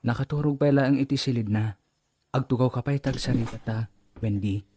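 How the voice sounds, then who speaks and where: A man's voice: mid-range voice, fairly quick, mellow, slight background noise, close to an everyday voice. A man acting an earnest, serious family man in an Ilocano radio drama. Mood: earnest, wooing. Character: men of a family drama: stern father, earnest suitor.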